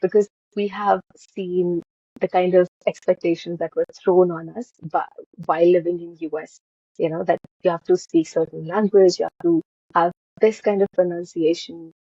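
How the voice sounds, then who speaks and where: A woman host interviews a guest in a dark, husky low voice with a gravelly fry. She puts her long questions together haltingly, padding them with "uh" and "you know." The audio is dull, dry and lo-fi. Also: flat delivery, dry recording. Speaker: podcast host.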